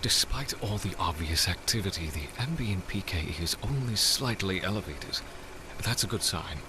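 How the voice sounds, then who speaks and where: An actor playing a cautious, analytical scientist ghost hunter in an audio drama, speaking in a deadpan, precise way. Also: male voice, low-pitched, moderate pace, noisy background. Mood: cautious, measured. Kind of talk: full-cast audio drama acting.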